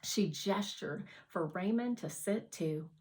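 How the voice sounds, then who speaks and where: A woman narrating a children's novel aloud as a scripted, expressive read-aloud. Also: mid-range voice, slow. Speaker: audiobook reader.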